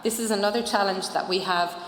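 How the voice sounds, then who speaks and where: A woman's voice: mid-range voice, steady tone, brisk, a bit bright. A woman giving an earnest conference presentation, with frequent fillers. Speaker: conference speaker. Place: conference hall. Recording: echoey hall, faint noise.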